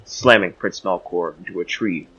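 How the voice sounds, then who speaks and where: A man's voice: deep voice, moderate pace, fairly bright tone, slightly rough, dull audio. A man narrating a scripted fantasy audio drama in a portentous, theatrical manner.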